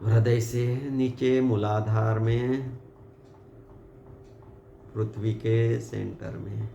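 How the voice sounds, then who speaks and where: A man with an Indian accent leading a guided meditation, giving calm, unhurried and gently directive instructions. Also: lowish voice, vocal fry. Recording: muffled, roomy sound with background hiss.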